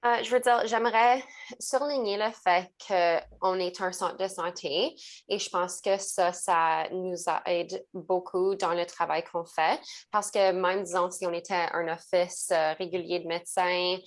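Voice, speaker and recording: A woman's voice: lowish voice, fairly even intonation, unhurried. A woman registered nurse, answering on a panel earnestly.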